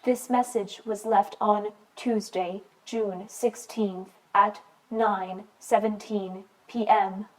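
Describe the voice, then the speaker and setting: A woman's voice: medium pitch, fairly even intonation, slow, few pauses, close to an everyday voice, rough recording. A woman voicing an impersonal answering machine announcer, formal and matter-of-fact, in a radio play. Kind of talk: scripted voicemail time announcements.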